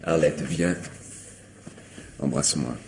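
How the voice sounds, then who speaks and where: An actor playing a smooth, manipulative lover in a radio mystery, coaxing and insistent, slipping into commands. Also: male voice, extremely low pitch, takes it slowly, slight background noise, exaggerated voice. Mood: pressing, velvety. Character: smooth, manipulative male lover greedy for valuables. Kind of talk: theatrical French radio mystery acting.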